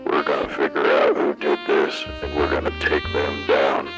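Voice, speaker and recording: A man voicing a gruff, world-weary police chief in a slow, grave, gravelly way, as in a hardboiled detective audio drama. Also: a bass voice, completely flat delivery, dull audio, music in the background. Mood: determined.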